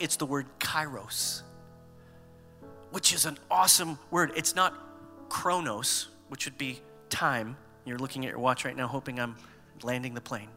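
A man in his fifties preaching a sermon as a pastor, conversational and animated, mixing scripture with humor. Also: on the high side, big-room sound.

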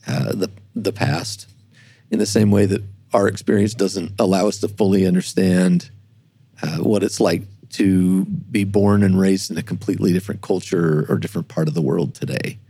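A man in a reflective unscripted discussion as a church historian, speaking haltingly and repeating words as he thinks aloud. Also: low voice, dark tone, husky, dry recording. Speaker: church historian, podcast guest.

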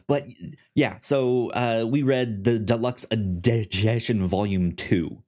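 A man's voice: medium pitch, slow pace, a little mellow. A young man co-hosting a casual podcast chat, stammering hard on the first sounds of words. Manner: stutters heavily on first sounds. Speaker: podcast co-host.

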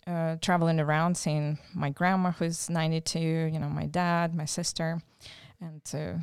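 Ukrainian woman, 30s, interview guest; low, dry, hesitant and reflective. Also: level tone, moderate pace, clear, resonant tone, dry recording. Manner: thoughtful, hesitant with many fillers. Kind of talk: casual interview.

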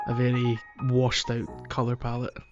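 A man's voice: medium pitch, completely flat delivery, measured pace, faint music behind. Man on a slightly dull-sounding gaming podcast. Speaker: podcast co-host. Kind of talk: casual chat about video games.

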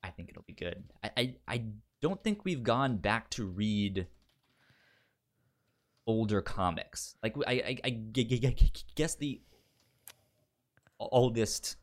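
A man chatting casually as a podcast co-host, stuttering on word onsets and often repeating words and syllables in a halting way. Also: on the low side, animated.

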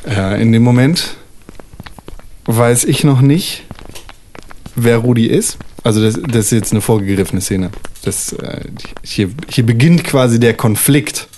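Man improvising game narration, lower-pitched. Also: unhurried, darkish tone, a little hiss or hum. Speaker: player in a tabletop role-playing podcast. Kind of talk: improvised scene narration among friends.

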